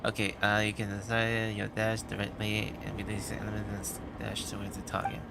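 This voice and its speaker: A man streaming a game live, giving casual, halting commentary and muttering partly to himself. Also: fairly low pitch, completely flat delivery, gravelly, noisy background. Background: game music.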